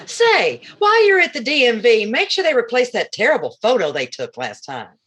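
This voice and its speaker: Sassy comedy sidekick, played by a woman; high voice, very lively intonation, teasing old-time radio quips. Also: normal speed, slightly rough, full character voice. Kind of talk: 1940s radio comedy acting.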